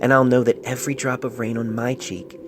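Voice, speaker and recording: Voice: mid-range voice, little pitch movement, brisk, background noise, natural voice. An amateur narrator voicing a loving, sentimental pony reading out a love letter earnestly and tenderly, recorded on a phone. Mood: soft, loving.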